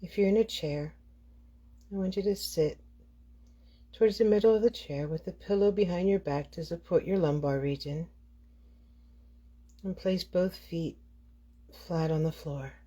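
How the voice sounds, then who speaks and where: Mellow low-voiced woman guiding meditation. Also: measured pace, long pauses, breathy edge. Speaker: meditation guide. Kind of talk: guided meditation narration.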